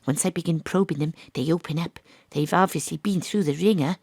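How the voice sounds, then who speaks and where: A woman playing a world-weary, wry private detective, narrating a noir audio drama in a deadpan way. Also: low-pitched, brisk, breathy edge, dry recording. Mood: wry, brooding. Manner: deadpan, dry. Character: female private detective, world-weary, wry, hard-boiled ex-rugby star.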